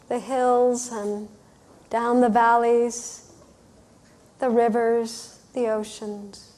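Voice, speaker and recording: A woman workshop leader leading a guided visualization in a gentle, soothing manner, speaking in short phrases with pauses. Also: a little high. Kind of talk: guided meditation, unhurried storytelling instruction.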